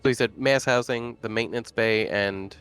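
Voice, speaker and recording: A man's voice: medium pitch, moderate pace, a bit bright, clean tone, soft background music. A man playing a tabletop roleplaying game, chatting casually and thinking aloud as he goes. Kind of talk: casual chat.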